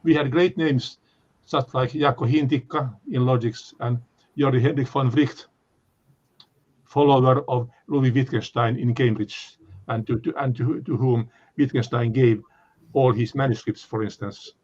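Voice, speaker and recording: An older man speaks with a Finnish accent in a mid-pitched voice, lecturing off the cuff. He stops and restarts often, filling gaps with ums and uhs. The recording sounds dull and lo-fi. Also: fairly even intonation, darkish tone. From Finland. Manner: halting, many fillers and restarts. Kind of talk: unscripted academic talk.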